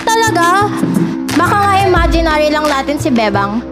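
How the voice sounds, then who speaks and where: A young woman plays a dismissive, mocking skeptic in a theatrical comedy. Her voice is very high, with a Filipino accent and drawn-out sarcastic emphasis. Music is loud around her. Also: big pitch swings. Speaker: student stage actor. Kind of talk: theatrical one-act comedy stage acting.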